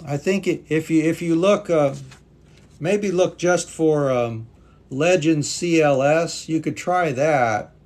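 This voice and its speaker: A man's voice: a little high, takes it slowly, a little mellow. A native English-speaking man teaching English on a live stream, patiently reading viewer comments aloud and thanking them. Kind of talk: live-stream language class chat.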